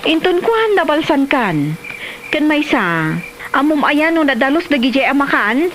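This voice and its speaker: Woman as high-pitched village mother. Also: very animated, moderate pace, fairly bright tone, a little hiss or hum. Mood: scolding. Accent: Ilocano. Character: village mother and nagging, exasperated wife. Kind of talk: Ilocano comedy drama dialogue.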